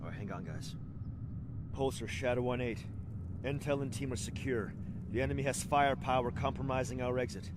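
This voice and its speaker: An actor playing a steady, businesslike special forces soldier, speaking in clipped, procedural military talk in a video game. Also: male voice, medium pitch, a little fast, very noisy, close to an everyday voice. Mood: focused, controlled. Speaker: field soldier.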